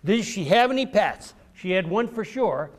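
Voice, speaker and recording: A man's voice: high voice, animated, normal speed. An American man, an author, giving an encouraging, theatrical storytelling talk to children in simple, emphatic phrases. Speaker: author and speaker. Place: public library event before children.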